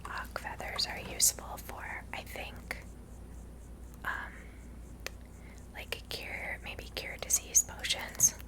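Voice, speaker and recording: A woman's voice: slow, pauses often. Whispering woman, ASMR gameplay. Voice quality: breathy whisper. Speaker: video game player and streamer. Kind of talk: whispered ASMR gameplay commentary with reading aloud.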